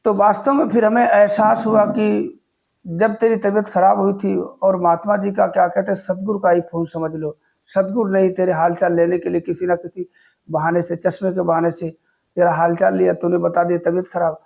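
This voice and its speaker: Guy w a sore throat, raspy edge, kinda high voice, earnest devotional chat. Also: little pitch movement, normal speed, a little mellow. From Uttar Pradesh, India. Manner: earnest, storytelling, humble. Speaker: devotional video host. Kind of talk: casual devotional talk to camera.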